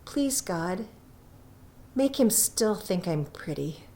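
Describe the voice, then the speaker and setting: A woman's voice: mid-range voice, sing-song, takes it slowly, mellow. A woman voicing an anxious, impulsive, loving young wife in a storybook reading. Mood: pleading. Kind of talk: storybook character voice.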